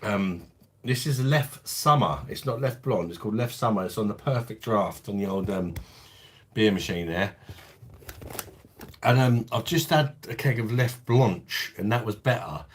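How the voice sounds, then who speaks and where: Bloke, deep lowish voice, laid-back beer-stream host, swears and laughs a lot. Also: male voice, unhurried, a little hiss or hum. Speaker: beer reviewer hosting a live stream. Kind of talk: casual live-stream chat while drinking. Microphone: phone.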